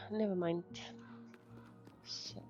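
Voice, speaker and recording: A woman's voice: lowish voice, steady tone, very slow, in a whisper. A woman VTuber giving casual commentary on a game and reading dialogue aloud. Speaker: VTuber streamer. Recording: dull microphone, game music behind.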